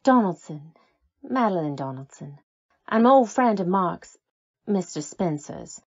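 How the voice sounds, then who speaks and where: Actress as concerned female friend, soft and slow, medium pitch, a bit muffled, radio drama. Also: animated, husky, dry recording, exaggerated voice. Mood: guarded. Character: soothing, concerned woman, old college friend of the widower. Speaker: supporting actress. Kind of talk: old-time radio drama acting.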